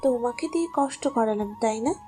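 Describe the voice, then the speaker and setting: A woman's voice: fairly high pitch, fairly quick, warm tone, faint music behind, close to an everyday voice. A woman playing a seductive, domineering female boss in a romantic audio story, coaxing and commanding as she speaks.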